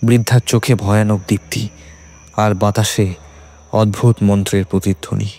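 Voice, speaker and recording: A man's voice: fairly low pitch, little pitch movement, normal speed, a little mellow. A man reading a scripted horror story as a dramatic narrator. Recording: music under the voice. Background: background music.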